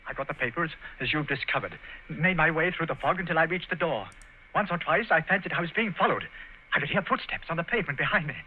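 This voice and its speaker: A man's voice: on the high side, animated, quick pace, a little hiss or hum, close to an everyday voice. A man playing a frightened young traitor who pleads and panics, in 1940s radio acting style. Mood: pleading. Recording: dull old radio recording.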